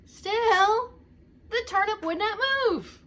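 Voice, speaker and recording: A woman's voice: very high voice, slow pace, a bit bright. A woman reading a story aloud to young children like a preschool teacher, theatrically drawing out the action words and talking to her listeners.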